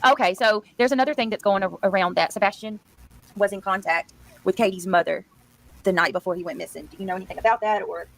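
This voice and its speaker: A woman's voice: medium pitch, quick pace, vocal fry, low-quality recording. A woman speaking as a panelist in a casual online discussion.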